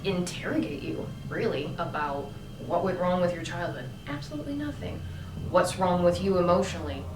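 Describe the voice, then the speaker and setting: A woman's voice: fairly low pitch, normal speed, over music. A woman vlogger talking about her own choices in a casual, emphatic way, with many fillers and rising bursts of emphasis. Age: adult. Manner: animated, emphatic, lots of fillers. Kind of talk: casual opinion monologue. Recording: echoey room, mic at a distance, background noise.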